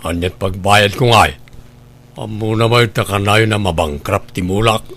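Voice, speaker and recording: A man's voice: lowish voice, unhurried, fairly bright tone. A man playing a resentful, calculating married farmer who grumbles, in a radio drama. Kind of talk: Ilocano radio drama acting.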